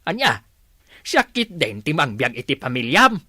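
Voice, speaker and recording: A man's voice: on the high side, wildly swooping pitch, a little slow, pushed and bright, breathy edge, dry recording, studio quality, exaggerated voice. A man playing an exasperated older village father in a comedy radio drama, nagging emphatically. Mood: scolding, skeptical. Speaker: actor.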